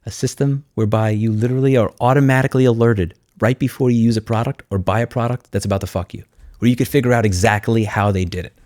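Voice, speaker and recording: A man's voice: mid-range voice, fairly even intonation, quick pace, darkish tone, dry recording, clean studio sound. A man speaking off the cuff as a video host, blunt and sardonic, in an informal advocate's monologue. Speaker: YouTube host and consumer rights advocate. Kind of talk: unscripted vlog monologue, screen walkthrough.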